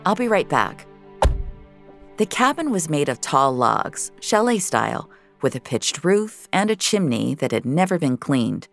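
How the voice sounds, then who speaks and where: A woman's voice: deep voice, big pitch swings, measured pace, gravelly, faint music behind. A woman narrating scripted audio fiction as a storyteller.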